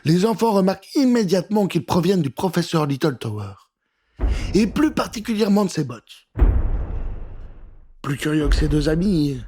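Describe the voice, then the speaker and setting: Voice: medium pitch, warm tone, a little hiss or hum. A storyteller reading a scripted children's adventure in a theatrical, suspenseful way.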